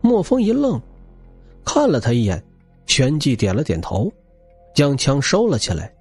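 A man's voice: mid-range voice, huge pitch swings, measured pace, darkish tone, husky, faint music behind. A man reading a novel aloud as a dramatic scripted narration. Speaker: audiobook narrator.

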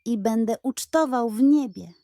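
A woman's voice: on the high side, normal speed, warm tone, no echo, clean studio sound, lightly acted. A woman voicing a sly, hungry male pug who gleefully schemes to himself in a children's fairy tale.

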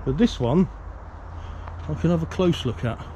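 A man presents a casual exploring vlog in a soft, mellow voice that sits a little high. He muses aloud and often asks himself questions. He is recorded outdoors, with some vehicle and ambient noise around him. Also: animated, normal speed, highs cut off. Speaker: presenter of a canal exploring series. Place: outdoors by a canal bridge and road.

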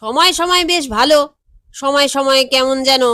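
A woman voicing a timid, nosy, excitable male errand runner who exclaims and asks questions deferentially in an audio story. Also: high voice, normal speed, dry recording, studio quality, voice pushed up. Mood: curious.

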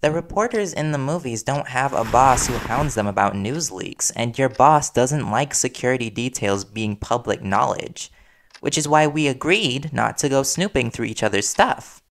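Worried reporter boyfriend played by a man, audio roleplay; creaky, conversational, earnest and gently confrontational. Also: medium pitch, expressive, moderate pace, background noise, close to an everyday voice. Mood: suspicious, stern.

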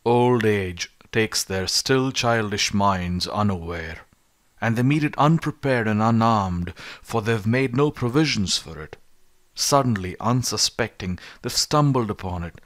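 A man narrating an audiobook of philosophy in a measured, rhetorical way, with emphatic delivery. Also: fairly low pitch, animated. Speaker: audiobook reader.

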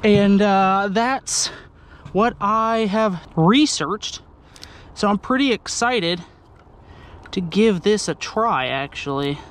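A man's voice: high voice, takes it slowly, fairly bright tone, slight background noise. A young man vlogging from a small boat on a lake, chatting and explaining his fishing rig as he works. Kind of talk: casual fishing vlog narration. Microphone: action camera on boat.

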